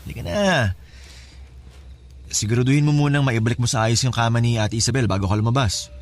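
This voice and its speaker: An actor playing a casual, scheming in-law who offers help with strings attached, familiar and coaxing, in a Filipino radio drama. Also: male voice, mid-range voice, fairly quick, close to an everyday voice. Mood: friendly, coaxing. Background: music bed.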